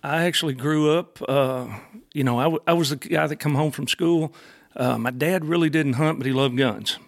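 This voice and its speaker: A middle-aged man with an Arkansas accent chats easily as a studio guest. His voice is gravelly and his talk folksy and plainspoken, wandering a bit as he goes. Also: a little high, moderate pace. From Arkansas, USA. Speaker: guest, custom gunsmith. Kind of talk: casual interview chat. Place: podcast studio.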